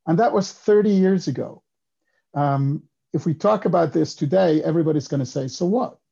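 A man in his fifties from Switzerland, a guest on a podcast, telling his story in a reflective, unhurried way. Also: a little high, gravelly, dark tone, breathy edge, highs cut off. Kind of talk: casual interview talk.

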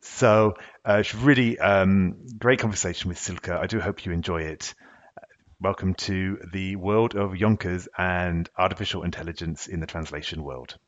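Low-voiced male podcast host. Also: fairly even intonation, normal speed, dull audio. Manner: earnest, with frequent fillers and restarts. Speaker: podcast host and executive coach. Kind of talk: podcast interview and intro.

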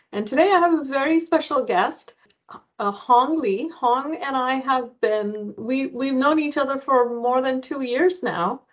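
Woman podcast host, chatty interviewer, slightly higher voice. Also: highs cut off. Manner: chatty, restarts sentences often. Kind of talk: conversational interview.

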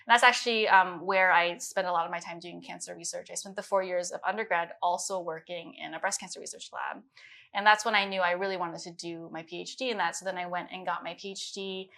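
A woman's voice: lowish voice, steady tone, quick pace, sharp tone. A woman in her late thirties, born in China and raised in the United States, giving a reflective, detailed account of her life as an interview guest. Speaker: guest, chief science officer. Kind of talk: conversational interview.

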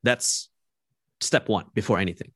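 Young man from Malaysia, low voice, dry close sound, reflective interview guest. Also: fairly bright tone, no echo. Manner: thoughtful, measured, frequent "like" and restarts. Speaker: podcast guest.

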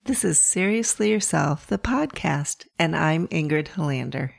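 A middle-aged woman from Pennsylvania hosting her podcast, hoarse and croaky from bronchitis, telling stories in a gentle, playful way. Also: low-pitched, animated, unhurried, soft background music. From north central Pennsylvania. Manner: gentle, playful storytelling with soft laughs. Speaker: podcast host. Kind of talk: reflective solo podcast monologue.

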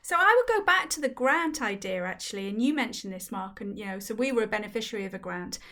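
A woman's voice: medium pitch, animated, fast, sharp tone, a little hiss or hum. A woman from Wales, a business founder, speaking articulately as a guest in a casual interview. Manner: articulate and fluent.

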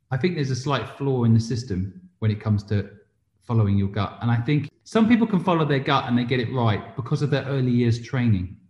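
A man's voice: medium pitch, darkish tone. Man explaining ideas in a roomy, dull recording. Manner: explanatory, uses rhetorical questions and tag questions. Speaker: interview guest, entrepreneur and investor. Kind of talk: conversational interview, giving business advice. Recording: roomy echo, slightly dull sound.

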